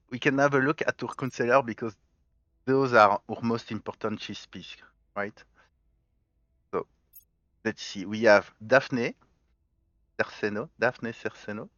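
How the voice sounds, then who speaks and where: A man's voice: medium pitch, fairly bright tone, no echo, dull audio. A man with a mixed French, Spanish and Galician accent, giving let's play commentary and explaining his choices step by step as he thinks aloud. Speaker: gaming channel host.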